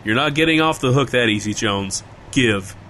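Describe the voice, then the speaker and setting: An actor playing an easygoing, bemused friend in a casual, slangy comedy audio drama. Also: male voice, mid-range voice, lively intonation, normal speed, fairly bright tone, a little hiss or hum, natural voice. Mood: bantering. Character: easygoing, bantering friend explaining a strange town.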